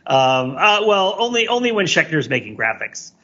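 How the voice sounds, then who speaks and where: A man's voice: fairly high pitch, very animated, moderate pace, sharp tone, highs cut off. A man on a podcast panel, chatting casually with wry, joking remarks.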